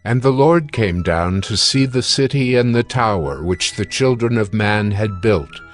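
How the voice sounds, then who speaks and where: Male narrator, fairly low, telling scripture formally and at a measured gait over faint music. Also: animated.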